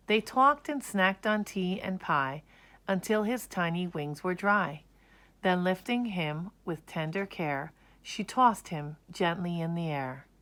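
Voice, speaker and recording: Female picture book reader, slightly low register, very slow delivery, expressive scripted storytelling. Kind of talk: scripted children's story read-aloud.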